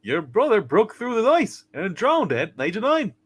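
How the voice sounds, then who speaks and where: A fussy little guardian angel for a radio play, super high voice, swoops around a lot. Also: male voice, huge pitch swings, unhurried, slightly rough, heavily acted. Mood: solemn, admonishing. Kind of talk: hammed-up live radio play reading.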